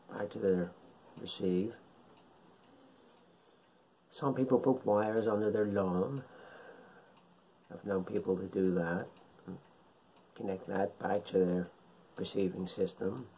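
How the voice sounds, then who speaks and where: A middle-aged man giving an informal tutorial in short, halting phrases, speaking at home into a camera microphone. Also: lowish voice, dull audio, slight background noise. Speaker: amateur radio hobbyist presenter. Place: indoors, living room at home.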